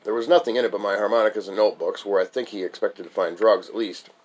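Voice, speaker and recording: Low-voiced man reading his memoir. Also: fairly even intonation, fast talker. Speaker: author reading own memoir. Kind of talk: memoir recitation, narrated reading.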